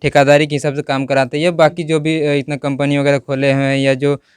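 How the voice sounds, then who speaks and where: Male interviewer, Indian accent, crisp clean tone, moderately high pitch, informal news questioning. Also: little pitch movement, brisk, no room sound, studio quality.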